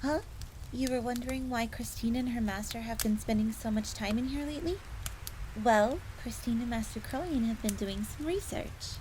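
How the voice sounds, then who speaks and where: Over clearly audible ambient noise, a high-voiced fox maid played by a woman chats playfully. She is friendly, devoted and a little coy, a cute fantasy servant from a roleplay audio drama. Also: moderate pace, a little mellow, natural voice. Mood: cosy, fond. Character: friendly, devoted fox maid speaking of herself in third person. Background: rain and crackling fire.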